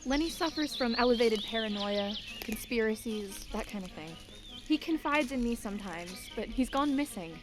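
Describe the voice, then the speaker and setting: A woman's voice: on the high side, lively intonation, moderate pace, music in the background, close to an everyday voice. An actress playing a concerned, determined young woman in an audio drama game, earnest and insistent.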